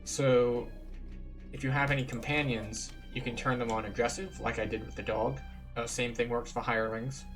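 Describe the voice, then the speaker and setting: A man's voice: mid-range voice, fairly even intonation, normal speed, fairly bright tone. A man giving casual, matter-of-fact gameplay commentary as he explains things like a tour guide. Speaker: game streamer and tour guide. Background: game music.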